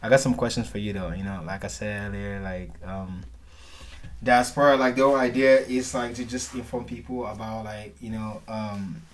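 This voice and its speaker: A man hosts a casual podcast conversation in a lowish voice. He talks in a relaxed, rambling way, full of "like" and "you know", and laughs easily with his guest. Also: normal speed. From Nigeria. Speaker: podcast host.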